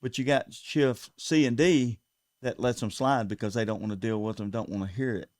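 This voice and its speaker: A man with a Southern US accent talks in an earnest, plain way, often restarting and repeating words as he lays out his points. His voice sits at a middle pitch. The sound is dry and close, with no room echo. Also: fairly even intonation, moderate pace. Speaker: sheriff candidate. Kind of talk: casual interview talk. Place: local TV studio.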